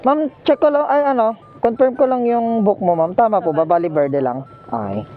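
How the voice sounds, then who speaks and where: A man's voice: high voice, moderate pace, narrow, tinny audio, crowd noise. A Filipino man chatting casually as a motorcycle taxi rider and vlogger, polite and jokey, into a helmet intercom microphone. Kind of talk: casual ride vlog chat. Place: outdoors on a motorcycle on the road.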